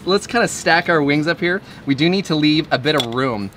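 A man's voice: fairly high pitch, animated, a little fast, fairly bright tone. A man in his thirties hosting a casual outdoor cooking show, talking in an upbeat, joking way as he cooks. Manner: upbeat, joking, quoting movie lines. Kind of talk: casual cooking demo with banter. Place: outdoor griddle kitchen with wood walls. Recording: griddle sizzle behind voice.

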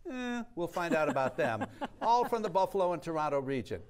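A man's voice: fairly high pitch, very animated, brisk, clear, resonant tone. A man in his sixties co-hosting a live TV pledge show, presenting upbeat and emphatic like an announcer. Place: TV studio kitchen.

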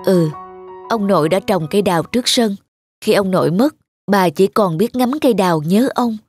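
A man's voice: high-pitched, normal speed, darkish tone, slightly rough, soft background music. A man playing an affectionate father and devoted son in a cartoon, talking in an earnest, reflective way. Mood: tender storytelling. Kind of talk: animated cartoon dialogue and storytelling.

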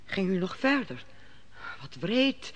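A woman's voice: mid-range voice, sing-song, takes it slowly. An actress playing a curious, demanding noblewoman in an old radio play, asking probing questions. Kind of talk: 1950s radio drama acting.

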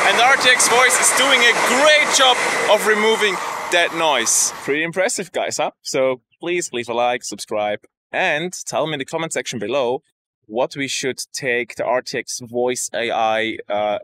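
A man's voice: sits high, pushed and bright, a little hiss or hum. A young man casually presenting a tech video to camera, recorded outdoors on a camera held at arm's length. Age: young adult. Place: outdoors by railway tracks.

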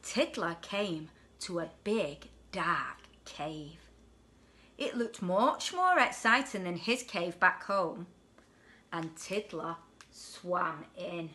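A woman in her forties reads a picture book to children in an animated, deliberate way, leaning on the rhyming words. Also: fairly low pitch, slight background noise. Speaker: deputy headteacher reading a children's story. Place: indoors at home on a sofa.

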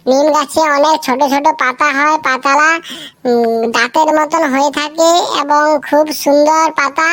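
A performer voices an eager young pupil in a comedy cartoon. The voice is very high and childlike. Delivery is earnest and halting, like a classroom recitation. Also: a bit bright.

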